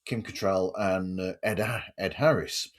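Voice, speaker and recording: Male podcast interviewer, fairly low register, casual and conversational delivery. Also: unhurried.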